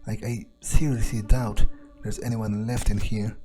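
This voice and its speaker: A man's voice: on the low side, little pitch movement, unhurried, warm tone, faint music behind. A man thinking aloud in improvised in-character video game commentary, musing and sardonic in an understated, casually profane way. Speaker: gamer narrating an in-character playthrough.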